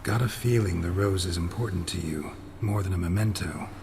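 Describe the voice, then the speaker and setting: A gruff fantasy game monster hunter played by a man, with a very low, restrained voice. He speaks in terse, measured phrases, matter-of-fact and guarded, over noisy ambience. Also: lightly acted. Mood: flat, matter-of-fact. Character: gruff, stoic adult male monster hunter for hire. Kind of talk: cinematic fantasy game acting.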